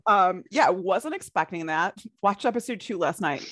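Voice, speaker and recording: A woman's voice: medium pitch, normal speed, no room sound, studio quality. A woman co-hosting a podcast, chatting casually and telling stories with some swearing. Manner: chatty, swears, animated storytelling. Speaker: podcast co-host.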